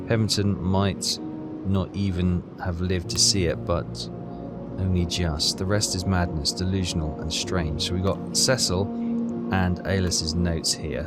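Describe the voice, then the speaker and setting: A man playing a game, reading notes aloud and muttering to himself as he works things out. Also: low-pitched. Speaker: video game player and narrator. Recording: loud music behind the voice. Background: game music.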